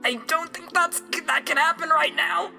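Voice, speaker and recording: A woman's voice: very high voice, steady tone, normal speed, vocal fry, sharp tone, soft background music, exaggerated voice. A woman voicing a prim, polite young noblewoman struggling in a tight corset, in an improvised comedy dub. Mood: breathless, resigned.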